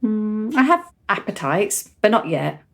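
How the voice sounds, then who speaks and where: An actress performs a frank country teenager. Her pitch sits in the middle and her speech is articulate and grown-up for seventeen. She chats in a cheerful, matter-of-fact way, as radio drama. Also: big pitch swings, unhurried, creaky. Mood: earnest. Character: frank, cheerful seventeen-year-old country girl, precociously mature. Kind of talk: radio play acting.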